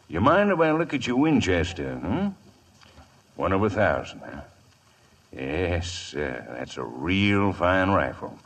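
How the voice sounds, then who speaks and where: A smooth-talking, greedy trader in a radio western. His voice is lowish and rough around the edges, sliding widely up and down. He is insinuatingly polite and cool. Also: heavily acted. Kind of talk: 1950s radio western acting.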